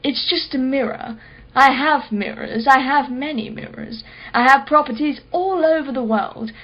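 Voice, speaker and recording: A high female voice, an actress playing a moneyed, skeptical customer in a radio drama. She is theatrical and sarcastic, relishing long mocking phrases. The recording is a little dull and lo-fi. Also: a little slow. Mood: haughty, teasing. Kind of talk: radio play acting.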